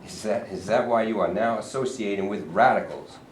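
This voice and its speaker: A man's voice: lowish voice, fairly quick, lightly acted. An actor in a staged reading playing a sly, evasive state governor who talks down to his visitors. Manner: evasive, patronizing, mock-genial. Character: sly smooth-talking male state governor. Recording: echoey room, mic at a distance, faint hiss.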